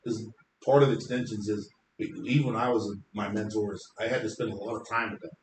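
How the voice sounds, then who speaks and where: A man with a deep voice, a hairstylist guest explaining his craft at length in a confident, talkative way. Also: steady tone, brisk, roomy sound, a little hiss or hum, rough recording. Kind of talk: casual interview chat.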